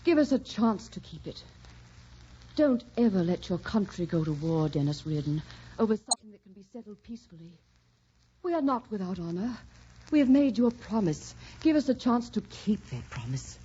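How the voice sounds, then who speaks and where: A woman's voice: medium pitch, sing-song, normal speed, dark tone, husky. An actress playing a devoted, pleading young woman in old-time radio melodrama, earnest and heartfelt. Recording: dull, noisy old radio recording.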